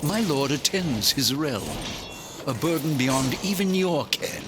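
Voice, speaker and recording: A man's voice: on the high side, animated, measured pace, background noise. An actor playing a haughty, scheming chancellor to a dead king in a video game, pompous, theatrical and condescending. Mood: haughty, formal. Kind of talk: video game cutscene acting. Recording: loud cinematic music behind.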